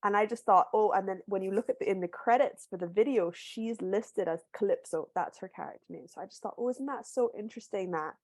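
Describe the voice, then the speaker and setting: A woman from Barbados, an author, talking through a video call. She tells her story thoughtfully with small restarts and sounds a little hoarse from a cold. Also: medium pitch, fairly quick, few pauses, no room sound. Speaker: author guest. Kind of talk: casual book club video call conversation.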